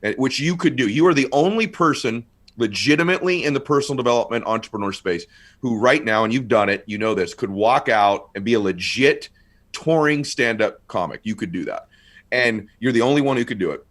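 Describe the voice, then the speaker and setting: Animated middle-aged man, casual talk. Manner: animated, quick storyteller, rising to excited highs. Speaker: guest speaker. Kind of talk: casual conversation.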